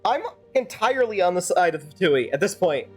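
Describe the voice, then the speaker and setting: A woman's voice: on the low side, sing-song, fast talker, slightly rough, faint music behind. A woman streaming a game, giving casual commentary in a wry, argumentative manner.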